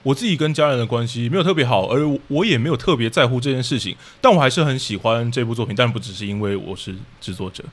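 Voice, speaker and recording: Man, Taiwanese Mandarin accent, quick pace, middle pitch, analytical explainer, casual interview guest. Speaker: guest, radio drama director and adapter.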